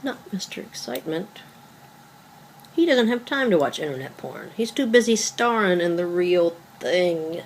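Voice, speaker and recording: A woman's voice: on the low side, expressive, a little slow, a little hiss or hum. A woman playing a guarded, cutting and evasive girlfriend in a table read of a stage play, dry, blunt and conversational. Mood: scornful.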